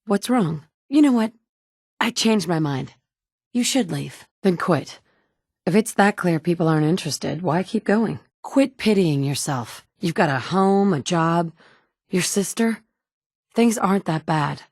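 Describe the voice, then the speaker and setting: A tough, level-headed survivor who gives it to people straight, with no fuss and a dry edge of humor. Her voice sits a little low for a woman, close-miked and completely dry. It is game dialogue delivered plainly and with confidence. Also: lively intonation, measured pace, a little mellow, no echo. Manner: direct, matter-of-fact. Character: capable, dry-witted woman survivor and leader. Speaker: player character. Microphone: close studio mic.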